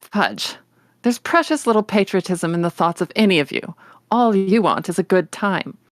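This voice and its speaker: Lady doing a stern older spinster from a storybook, disapproving and snippy, mid pitch, no nonsense. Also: animated, moderate pace, no room sound, clean studio sound, close to an everyday voice. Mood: scornful. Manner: tart, scolding, no-nonsense. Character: stern, disapproving middle-aged spinster guardian.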